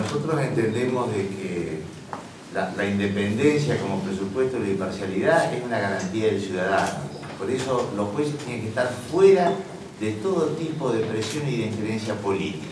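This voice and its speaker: Man, opposition politician, lowish voice, formal legal phrasing, distant echoey hall. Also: lively intonation, normal speed. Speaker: opposition deputy. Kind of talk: press conference answer. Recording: echoey hall, far from the mic, noisy.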